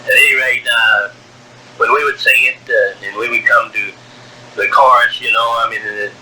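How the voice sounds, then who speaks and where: A man in his sixties from Wyoming, telling his life story on a home cassette tape, halting, with many fillers, and a strong voice. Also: medium pitch, fairly even intonation, heavy background noise. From Wyoming, USA. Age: 60s. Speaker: narrator of own life story. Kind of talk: taped personal memoir. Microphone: cassette recorder.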